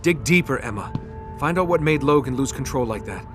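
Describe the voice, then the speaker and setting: An actor playing a serious, firm, urgent superhero team leader in a video game. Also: male voice, mid-range voice, animated, brisk, over music, close to an everyday voice. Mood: determined. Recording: loud game soundtrack under the voice.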